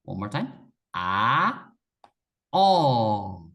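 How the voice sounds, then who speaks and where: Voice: mid-range voice, sing-song, drawn-out words, a bit bright. A native Korean speaker with a Korean accent, teaching a beginner one-on-one in a patient, encouraging way and repeating words for emphasis. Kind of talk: one-on-one language lesson.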